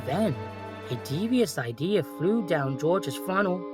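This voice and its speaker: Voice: medium pitch, expressive, slow. A narrator reading a scripted children's story, with music playing behind.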